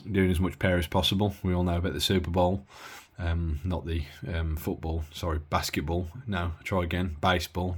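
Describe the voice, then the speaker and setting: A deep-voiced man talks through an old radio he is testing at the bench. His delivery is casual and rambling, full of filler words and mid-sentence corrections. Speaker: radio hobbyist reviewing gear. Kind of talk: casual bench-test walkthrough.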